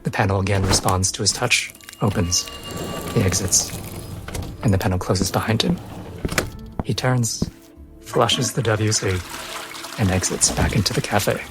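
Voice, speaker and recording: A man with a fairly low voice narrates a character's actions in a tabletop role-playing game. He tells it in the third person, with music clearly playing underneath. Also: a little slow, pauses often.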